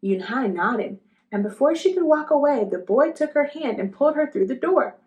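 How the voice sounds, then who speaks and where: A woman narrating a children's storybook aloud in an expressive style. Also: high-pitched, moderate pace, rough recording. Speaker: storybook reader.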